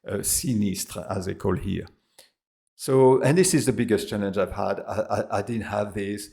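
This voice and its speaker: A middle-aged man speaks with a French accent in a casual vlog, talking straight to camera. His low voice has a heavy gravelly fry. He pauses often, stumbling over fillers and restarting his sentences. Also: warm tone. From France and Australia. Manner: hesitant, many fillers and restarts. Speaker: expat vlogger.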